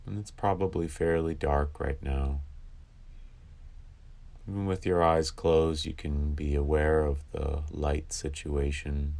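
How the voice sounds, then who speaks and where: A man with a remarkably low, deep voice leads a guided meditation for sleeplessness. He speaks calmly and instructively. He leaves long silent pauses between phrases. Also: creaky.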